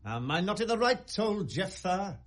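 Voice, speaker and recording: A British actor playing a brooding, sardonic young prince feigning madness, theatrical and mercurial in a 1970s classical radio drama. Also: male voice, high-pitched, sing-song, normal speed, a bit bright, full character voice. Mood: teasing. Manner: theatrical, mercurial, precisely declaimed.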